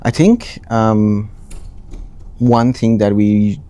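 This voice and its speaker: A young man from Nepal, answering interview questions in a relaxed way, often restarting phrases with ums. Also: lowish voice, drawn-out words, clear, resonant tone. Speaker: interviewee, account manager.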